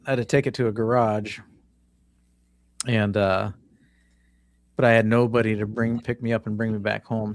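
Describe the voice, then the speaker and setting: A man hosting a casual live-stream chat, reading viewer comments aloud and chatting in an easygoing way. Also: fairly low pitch. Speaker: host. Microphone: home video-call setup.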